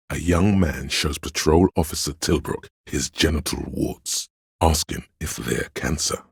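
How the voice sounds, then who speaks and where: An all-knowing inner voice of police instinct in a literary video game. It is extremely low and very husky, speaking with grave deliberation and dry irony. The sound is close and dry, with no room around it. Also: male voice, no echo, full character voice. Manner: measured, deliberate, dryly ironic. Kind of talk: second-person literary game narration.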